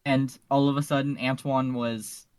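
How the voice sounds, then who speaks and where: A man's voice: medium pitch, flat delivery, normal speed, a little mellow, dry recording, clean studio sound. A man speaking as a podcast panelist in a casual fan discussion, earnest and emphatic, repeating phrases for stress.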